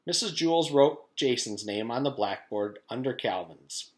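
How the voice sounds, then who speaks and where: A man's voice: mid-range voice, animated, measured pace. A man reading a children's book aloud in the style of a teacher's storytime, narrating expressively.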